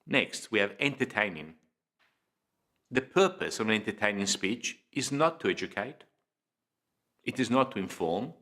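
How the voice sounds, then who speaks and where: A man presenting an instructional lesson in a measured, deliberate way, pausing between phrases and stressing key words. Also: fairly low pitch, very animated, pushed and bright. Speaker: presenter of public speaking training video. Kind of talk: informative instructional lecture, scripted delivery.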